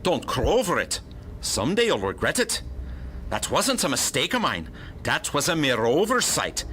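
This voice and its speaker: Radio play casting: pompous male detective, played by a man, forceful, wildly swooping pitch, brash and dismissive. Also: wildly swooping pitch, normal speed, slight background noise, exaggerated voice. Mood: irritated blustering. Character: gruff, cocky, scowling male police detective. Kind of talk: radio drama acting.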